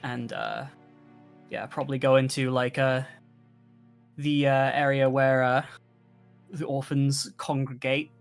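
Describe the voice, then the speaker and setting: A woman's voice: a bass voice, flat delivery, slow, long pauses, smooth, faint music behind. A woman playing a tabletop roleplaying game, casually describing her character's actions and hesitantly thinking aloud.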